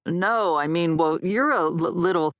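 A woman's voice: fairly low pitch, unhurried, dry recording, pitched above the performer's own voice, close to an everyday voice. A woman voicing a shy, awkward young male ghost from a novel. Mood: awkward, hesitant. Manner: hesitant stammer on first sounds.

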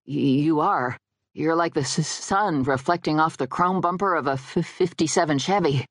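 A woman's voice: low voice, normal speed, dry recording, clean studio sound. A woman voicing a shy, awkward young male ghost from a novel. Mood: shy, admiring. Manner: hesitant stammer on first sounds.

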